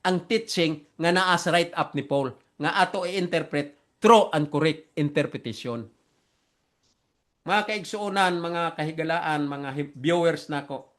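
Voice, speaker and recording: A man with a Filipino accent and a high, light voice for a man, teaching theology to an online audience. His first language is Visayan, and he works through plain English slowly and earnestly, often repeating himself. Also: fairly bright tone. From Philippines. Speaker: preacher and theologian.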